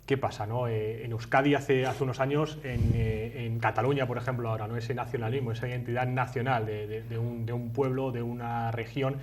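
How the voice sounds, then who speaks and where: A man's voice: medium pitch, little pitch movement, a little fast, hardly any pauses, noisy background. Hesitant local TV interviewer, a man. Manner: hesitant, many fillers and restarts while framing questions. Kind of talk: TV interview questions.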